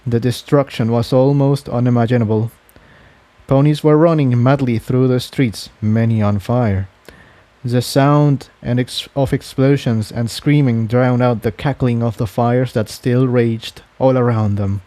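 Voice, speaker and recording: A man narrates a scripted fantasy story. His pitch sits in the middle of the male range, and his tone is mellow and relaxed. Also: measured pace, dry recording. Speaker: fan fiction narrator.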